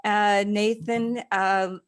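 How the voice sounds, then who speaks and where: A woman's voice: medium pitch, flat delivery, extremely slow. A woman, an alumni coordinator, formally introducing speakers with some hesitations in an online info session. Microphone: video call.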